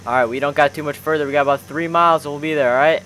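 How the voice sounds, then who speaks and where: A man's voice: fairly high pitch, fairly even intonation, rapid-fire, fairly bright tone, slight background noise. A young man commentating on gameplay casually with friends, exclamatory and full of slang like bro and dude. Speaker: gaming video host.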